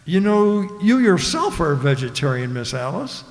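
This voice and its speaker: An actor playing a glib, wry captive broadcaster in a satirical radio play, talking in a dry, leading manner. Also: male voice, on the high side, big pitch swings, normal speed. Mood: slyly reasoning. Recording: hall-like stage reverb.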